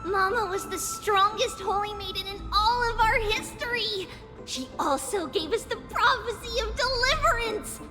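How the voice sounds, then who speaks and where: A woman's voice: very high voice, moderate pace, in a large hall, music in the background. A woman voicing a cute, innocent little girl in an anime-style game, cheerful and earnest, with simple childlike speech. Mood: proud.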